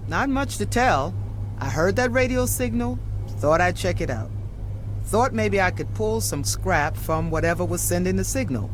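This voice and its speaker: A woman's voice: fairly low pitch, expressive, normal speed, slight background noise, lightly acted, poor audio. A woman playing a tough, pragmatic scavenger and tinkerer in a video game, talking in a blunt, streetwise, guarded way. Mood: guarded, dismissive. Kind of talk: video game character dialogue.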